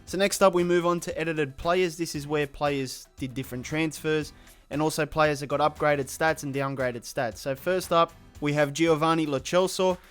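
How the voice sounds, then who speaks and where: Guy doing a casual game update rundown, smooth clear voice, bit of a sore throat, light music under it. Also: on the high side. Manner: casual, matter-of-fact, listing stats. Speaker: gaming channel host.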